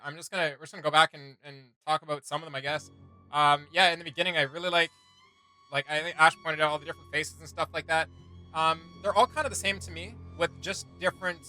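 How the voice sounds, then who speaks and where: A man's voice: a little high, bright, forceful tone. A man giving casual reaction commentary as a video host, thinking aloud in informal, slangy language.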